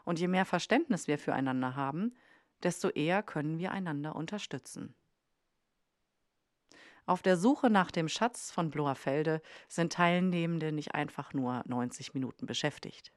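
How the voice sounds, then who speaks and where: Low-voiced woman narrating, dry sound. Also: normal speed, dry recording. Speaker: podcast host and narrator. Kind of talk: scripted documentary narration. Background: faint music.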